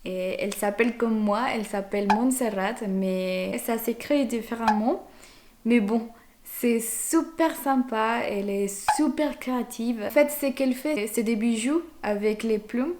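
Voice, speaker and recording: A woman's voice: fairly high pitch, unhurried, background noise. A young Mexican woman, a native Spanish speaker with a Mexican accent, chatting informally to viewers as a vlog host. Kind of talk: casual talk to viewers.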